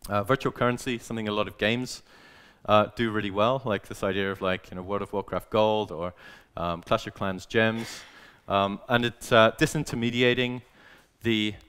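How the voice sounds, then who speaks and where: Low smooth-voiced man presenting. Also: steady tone, normal speed, a bit bright. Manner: conversational, many fillers and restarts. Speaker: conference speaker. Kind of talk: casual presentation talk.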